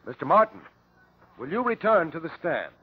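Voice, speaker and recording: Male as fussy official coroner, husky lively voice, vintage radio courtroom drama. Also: a little high, normal speed. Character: officious, deferential male coroner running inquest. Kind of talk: old-time radio drama acting. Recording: muffled old radio drama recording.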